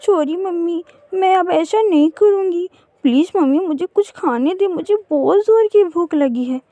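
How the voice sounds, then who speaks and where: A woman's voice: very high voice, moderate pace, dark tone, no echo, pitched above the performer's own voice. A woman voicing a tiny, sweet baby girl bird in a cartoon story, pleading and repeating words like a hungry child. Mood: sorry, pleading.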